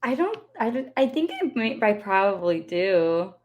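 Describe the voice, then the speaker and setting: A woman's voice: medium pitch, sing-song, measured pace, dull audio. A young woman co-hosting a podcast with her boyfriend, teasing and exasperated, laughing often. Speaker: podcast co-host. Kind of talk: casual joking chat.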